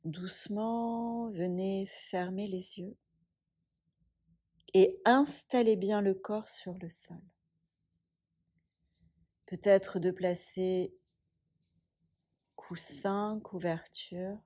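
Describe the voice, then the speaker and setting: A woman instructor guiding a yoga relaxation meditation, speaking softly and soothingly in a breathy, hushed voice with long pauses. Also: medium pitch, steady tone.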